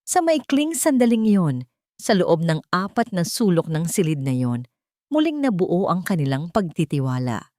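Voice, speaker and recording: A woman's voice: fairly low pitch, very animated, moderate pace, warm tone, no echo, clean studio sound. A woman with a Filipino accent narrating a dramatic scripted story.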